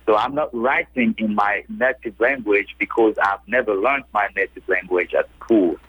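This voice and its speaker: A colours this man's somewhat low, breathy voice as he answers in a halting way, dotting his talk with "uh". The sound is close and dry, with no room around it. He speaks as a guest poet in a casual interview. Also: fairly bright tone, no room sound, poor audio. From French-speaking West Africa. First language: Anyi (Akan language). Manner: hesitant, halting with fillers.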